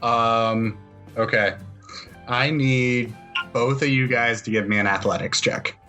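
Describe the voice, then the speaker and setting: A man's voice: medium pitch, steady tone, slow, pushed and bright, soft background music. A man acting as game master, casually narrating a tabletop role-playing game and talking with the players.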